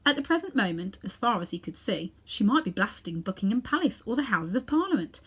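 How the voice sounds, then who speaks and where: A woman's voice: mid-range voice, sing-song, brisk, a bit bright, husky. Woman reading a children's story expressively. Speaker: storybook reader.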